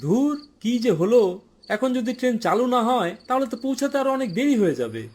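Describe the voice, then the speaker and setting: A man's voice: very high voice, very animated, normal speed, darkish tone, full character voice. A man playing a young traveler in a horror cartoon, exclamatory and easily rattled. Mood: annoyed, skeptical. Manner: exclamatory, stammers when frightened. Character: weary, skeptical young male traveler who turns terrified.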